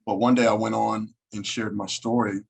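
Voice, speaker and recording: A man's voice: on the low side, little pitch movement, normal speed, creaky, breathy edge. A man in his thirties, a podcast guest talking laid-back and casually over a video call, calling people man. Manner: laid-back, says man a lot, occasional swearing. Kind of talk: casual conversation.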